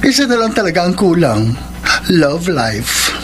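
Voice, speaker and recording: Flamboyant, lively young Filipino man. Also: high voice, measured pace, noisy background. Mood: teasing. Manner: campy, playful, exclamatory. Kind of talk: Filipino radio drama acting.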